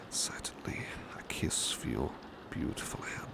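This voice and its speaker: A man playing a devoted, gentle British butler in an ASMR roleplay, speaking softly and breathily, often in a whisper, with tender deference. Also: slow, natural voice. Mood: soothing, affectionate. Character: devoted, gentle male butler soothing a sleepy master. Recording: clear background ambience noise, rain. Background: rain ambience.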